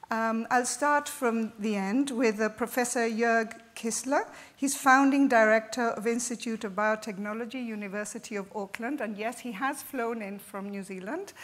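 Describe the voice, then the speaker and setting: A woman with a somewhat high voice moderates a conference panel. She introduces each speaker in a halting way, with many ums and uhs. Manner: warm thanks, halting with fillers. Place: conference hall stage.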